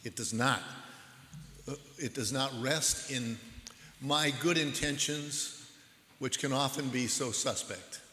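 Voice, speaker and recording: A man preaching a sermon as pastor in a church, with a measured, earnest delivery. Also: medium pitch, bright, forceful tone, slight background noise. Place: church sanctuary. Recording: echoey hall with long reverb.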